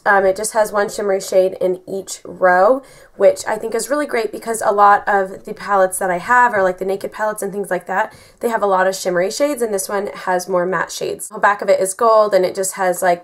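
A young woman in her twenties, raspy from a cold, chatting through a makeup tutorial in a bedroom, full of ums and likes. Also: medium pitch. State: getting over a cold. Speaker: beauty vlogger. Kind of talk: casual makeup tutorial talk. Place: bedroom, indoors.

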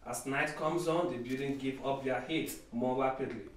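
A man playing a matter-of-fact military analyst giving a measured, explanatory briefing in a radio drama. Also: medium pitch, steady tone, slight background noise, close to an everyday voice. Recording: very echoey, distant, faint music.

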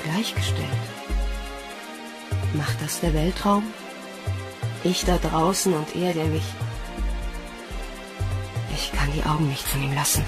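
A woman's voice: deep voice, fairly even intonation, slow pace, pauses often. A woman playing a smitten, self-conscious young space station recruit in a German science fiction audio drama. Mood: dreamy, smitten. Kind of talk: German audio drama acting with inner monologue. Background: loud background music.

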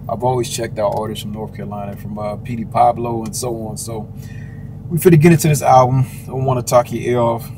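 A man hosting a casual music review channel, talking in a relaxed, slangy way with filler words. His delivery stays level and flat in pitch. A steady noisy ambience sits behind his voice. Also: brisk. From Washington State, US. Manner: laid-back, slangy, with fillers. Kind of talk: casual album reaction chat. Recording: noisy background.

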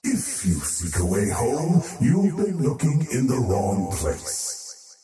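An actor voicing an arrogant, menacing faceless void creature in a video game, with pompous, ominous and theatrical delivery. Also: male voice, mid-range voice, sing-song, takes it slowly, dark tone, far from the mic. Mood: disdainful. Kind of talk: theatrical game character voice lines. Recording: roomy reverb over background music.

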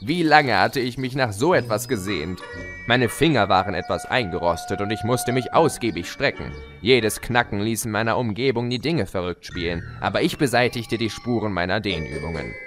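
A man's voice: fairly low pitch, expressive, normal speed, lightly acted. A man playing a gleeful, scheming chaos creature who narrates his escape in an audio drama, smug and playful. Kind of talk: theatrical first-person audio drama narration. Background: music.

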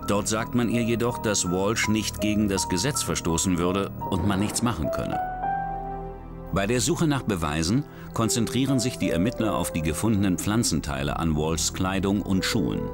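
A man tells a crime story in a very deep, lively voice. He narrates in a measured, dramatic way. Music plays clearly beneath him. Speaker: documentary narrator. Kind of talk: scripted narration.

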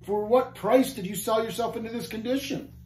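A man voicing an earnest, tender-hearted pilgrim in a storybook tale, gentle and pleading. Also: high-pitched, a little fast, voice pushed up, close to an everyday voice. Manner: gentle, pleading, old-fashioned storybook phrasing. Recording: roomy echo, faint background noise.

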